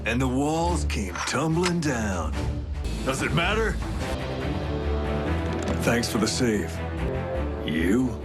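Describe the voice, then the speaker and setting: A man voicing a cocky, battle-hardened alien robot warrior in an animated action series, wry, swaggering and laconic, with a brash wisecracking streak. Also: mid-range voice, slow pace, pauses often. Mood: dry, bold. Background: music.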